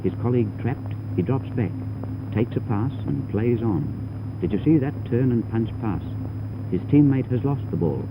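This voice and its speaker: A man's voice: medium pitch, expressive, moderate pace, dull audio. A man giving formal, instructive scripted narration for a 1930s training film, on a noisy old soundtrack. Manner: formal, didactic.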